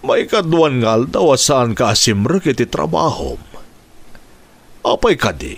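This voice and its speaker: A man with an Ilocano accent playing a concerned older father in a radio drama, questioning and animated. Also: mid-range voice, measured pace, creaky, full character voice.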